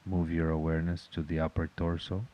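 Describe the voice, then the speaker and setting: A man leading a guided meditation in a calm, measured, instructional manner. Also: sits low, highs cut off.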